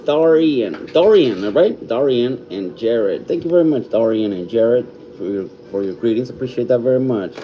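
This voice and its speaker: A man's voice: mid-range voice, lively intonation, highs cut off, slight background noise. Fifty-something man thanking livestream viewers. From California. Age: 50s. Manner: warm, thankful, reads out viewer names slowly; mixes Spanish phrases into English. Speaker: livestream host. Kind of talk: casual livestream chat reading comments. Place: home.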